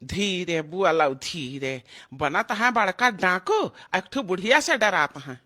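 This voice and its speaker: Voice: mid-range voice, lively intonation, slow pace, bright, forceful tone, heavily acted. A performer voicing a greedy, bossy male village thief in an Awadhi comedy cartoon, scolding, with an Awadhi accent. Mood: hushing, annoyed. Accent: Awadhi, eastern Uttar Pradesh.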